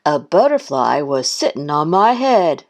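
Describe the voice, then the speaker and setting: A woman's voice: low voice, sing-song, unhurried, exaggerated voice. A woman voicing a reluctant, glum goose in a children's storybook, mumbling and groaning the lines. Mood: unimpressed.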